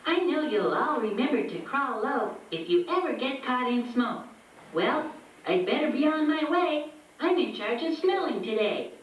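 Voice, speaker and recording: A woman voicing a cheerful, friendly puppet in a children's safety show, speaking in a deliberate way. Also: sits high, animated, slight background noise. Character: cheerful puppet smoke sniffer teaching children to crawl low. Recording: very echoey, distant, loud music behind.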